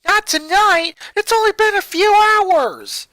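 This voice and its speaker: Woman doing an anguished, high-voiced teenage girl. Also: big pitch swings, takes it slowly, bright, forceful tone, no room sound, heavily acted. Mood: indignant. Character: guilt-ridden, headstrong athletic teenage girl.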